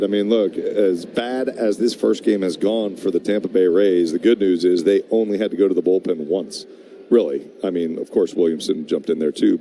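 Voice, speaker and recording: A male baseball color commentator with a lowish, very dark and soft-edged voice. He analyzes the game conversationally, with slight noise in the background. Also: vocal fry.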